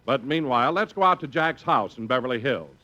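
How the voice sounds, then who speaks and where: A man's voice: medium pitch, lively intonation, brisk, bright, forceful tone. A male radio announcer reading a commercial with a hearty salesman delivery, 1950s style. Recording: muffled old radio recording.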